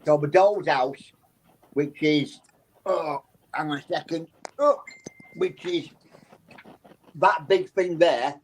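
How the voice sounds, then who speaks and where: A man's voice: a little high, slow, pauses often, a little hiss or hum. A man with a South Yorkshire accent and a slightly raised voice, chatting as an interview guest. He tells loose, rambling stories, doubling back and restarting as he goes. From England. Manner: rambling anecdotal storytelling with frequent restarts. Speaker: psychic guest. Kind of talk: casual interview chat.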